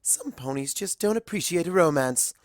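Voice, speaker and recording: A woman's voice: low voice, expressive, normal speed, a little mellow, no echo, studio quality, voice pushed up. A ladylike cartoon unicorn mare, voiced by a woman reader. She speaks in a raised, lilting register, genteel and effusive, full of romance. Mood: dreamy, reassuring.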